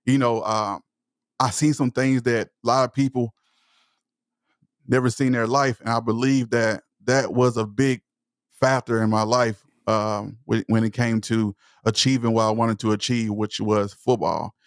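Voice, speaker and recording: A man's voice: medium pitch, fairly even intonation, a little slow, no room sound, studio quality. Southern-accented man speaking with conviction. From East Texas. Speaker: guest. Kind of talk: podcast interview.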